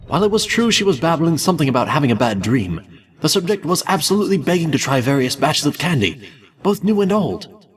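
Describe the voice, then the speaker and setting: An obsessive candy-maker scientist, played by a man, narrating his journal with theatrical excitement. His fairly high voice leaps up and down animatedly, and it sits a little off the mic. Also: fast, recorded from a distance. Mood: gleeful. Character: obsessive male candy maker recording experiments. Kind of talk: fanfiction audiobook reading.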